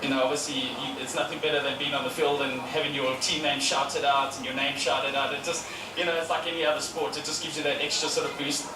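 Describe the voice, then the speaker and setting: A man's voice: mid-range voice, fast talker. A man, a paintball player answering interview questions with many fillers. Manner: even, hesitant with fillers. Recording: echoey, far from the mic, with noise.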